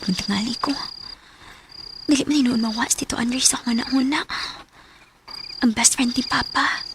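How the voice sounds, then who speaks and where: A woman's voice: a little high, noisy background. A woman playing a playful young daughter in a radio drama, speaking with a Cebuano accent. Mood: quietly smitten. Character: playful young adult daughter smitten with older man. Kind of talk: Filipino radio drama acting.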